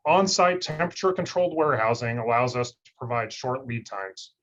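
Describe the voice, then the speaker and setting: Man, monotone read-through, company talk over a slightly dull call line. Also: mid-range voice, a little fast, slight background noise. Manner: monotone, steady reading. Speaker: industry research scientist presenting. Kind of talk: scripted webinar presentation. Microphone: video call.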